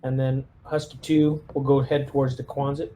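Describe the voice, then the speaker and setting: A man's voice: mid-range voice, flat delivery, moderate pace, mellow, a little hiss or hum. A man chatting casually as he plays a tabletop roleplaying game, giving orders while thinking aloud. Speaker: player leading a tabletop game squad.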